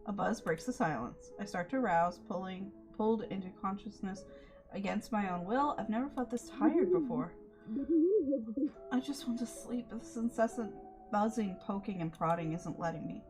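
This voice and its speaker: A woman livestreamer reading a visual novel aloud expressively, sometimes stumbling over lines and chatting between passages. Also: medium pitch. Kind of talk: dramatic read-aloud mixed with livestream chat.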